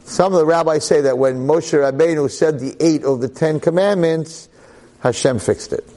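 A man's voice: fairly high pitch, normal speed, warm tone. A man giving a Torah lecture to a class, emphatic and dramatic, repeating phrases and asking rhetorical questions. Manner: public speaker.

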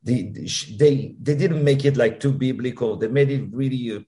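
A man with a French accent, a guest answering interview questions in a halting, effusive way with many restarts. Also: medium pitch. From France. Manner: halting, repeats words, warm and effusive. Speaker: musical producer guest.

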